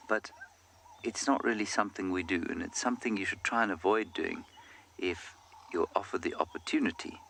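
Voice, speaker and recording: A man guiding a live game drive, calmly explaining the animals to viewers from outdoors in the bush. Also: low voice, slight background noise. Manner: calm, explanatory, speaks directly to viewers. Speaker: safari guide.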